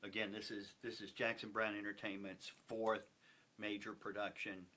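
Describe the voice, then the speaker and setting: A man's voice: fairly low pitch, little pitch movement, normal speed, sharp tone. A man talking effusively and emphatically as a theater guest in a casual interview. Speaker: theater company member, guest.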